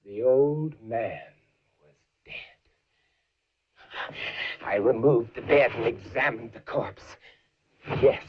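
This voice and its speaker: A slow, halting, unhinged murderer. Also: male voice, fairly low pitch, slightly rough, lo-fi sound, full character voice. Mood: hushed, grim. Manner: halting, intense, stammering repetitions, melodramatic pauses. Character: nervous mad murderer confessing, gleefully cunning, unhinged. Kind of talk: theatrical horror monologue, dramatic recitation.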